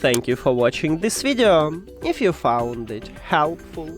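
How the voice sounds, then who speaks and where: A man who speaks English as a second language, presenting a step-by-step tech tutorial with hesitant, halting phrasing. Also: fairly high pitch, sing-song, faint music behind. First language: not English.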